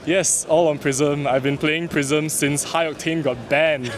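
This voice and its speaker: A man who grew up in Singapore, explaining his card game matches in a calm, measured, analytical way. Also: fairly high pitch. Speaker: tournament player being interviewed. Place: busy card game tournament venue. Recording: busy crowd noise behind. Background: crowd chatter.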